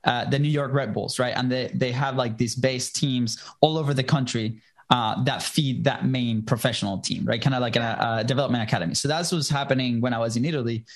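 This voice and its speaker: A man's voice: medium pitch, fairly even intonation, fairly quick. A man in his thirties from Venezuela with a Venezuelan Spanish accent, a native Spanish speaker, telling his life story earnestly as a podcast guest. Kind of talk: casual storytelling interview.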